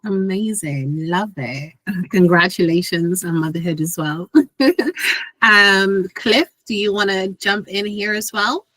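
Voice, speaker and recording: A woman moderating an online Q and A, reading out audience questions in a brisk, upbeat way. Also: medium pitch, sharp tone, no room sound. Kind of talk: hosting a Q and A.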